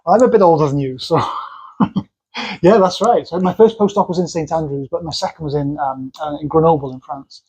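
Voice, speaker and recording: Guy hosting a podcast, quick and a bit husky, fairly high voice, jokey. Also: mellow, low-quality recording. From Wales. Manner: playful, teasing. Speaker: podcast host. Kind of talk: casual interview chat.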